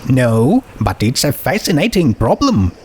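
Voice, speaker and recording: A man's voice: mid-range voice, very animated, unhurried, darkish tone, a little hiss or hum, pitched above the performer's own voice. A man voicing a bookish, inquisitive teenage girl in a storybook, speaking in an articulate, analytical way. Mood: fascinated.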